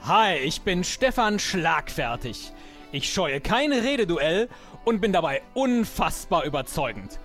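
Small older male pirate recruit, acted by a man, high-pitched, very lively intonation, boastful, hesitant, improvised audio play. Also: measured pace, soft background music, heavily acted.

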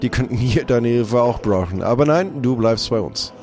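A man's voice: medium pitch, animated, normal speed, darkish tone, soft background music, close to an everyday voice. A man playing a matter-of-fact pirate companion in a casual improvised audio role-play.

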